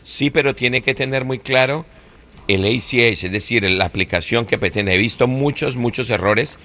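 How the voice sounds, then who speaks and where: A man's voice: medium pitch, normal speed, bright, forceful tone. A man giving legal advice to callers on a live radio show, questioning and explaining.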